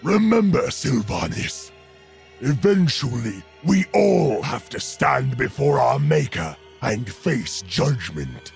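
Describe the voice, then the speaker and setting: A man's voice: medium pitch, very animated, takes it slowly, vocal fry, a little mellow, full character voice. An actor playing a stern, angry orc warchief in a video game, contemptuous and declamatory, threatening as he speaks. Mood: menacing. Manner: menacing, declamatory. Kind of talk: dramatic video game acting. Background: music.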